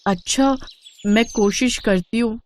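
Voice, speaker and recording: A woman voicing a gentle, innocent young village bride in a storybook tale, polite and earnest. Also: fairly high pitch, slow pace. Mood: surprised, earnest. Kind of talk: animated storybook acting.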